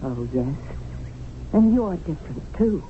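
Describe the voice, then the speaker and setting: Radio-play idealist: articulate, righteous Englishwoman, lively intonation, tinny and slightly noisy broadcast. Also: lowish voice, unhurried. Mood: indignant. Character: prim, idealistic, indignant Englishwoman newly in India. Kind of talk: radio drama acting.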